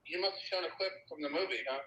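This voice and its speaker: A man's voice: on the high side, racing, pushed and bright. A man chatting casually on a phone call, heard tinny and roomy through a speaker. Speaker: personal assistant on a phone call.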